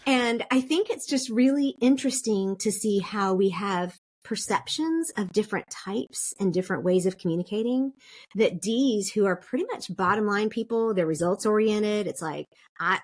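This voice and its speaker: Lively middle-aged woman, podcast guest. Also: mid-range voice, moderate pace. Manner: animated, laughs often, explains with examples. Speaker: podcast guest, DISC consultant. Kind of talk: casual interview chat.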